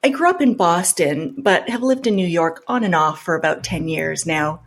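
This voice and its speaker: A woman hosting a show, reading letters aloud in an earnest, measured way and adding pointed comments of her own. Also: on the low side, animated.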